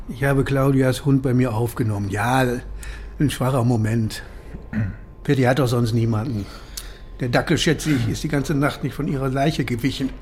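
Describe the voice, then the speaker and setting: An actor in his sixties playing a gruff, defensive, nostalgic police chief in a German radio crime drama. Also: medium pitch, darkish tone, a little hiss or hum, lightly acted. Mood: wistful, guarded. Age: 60s.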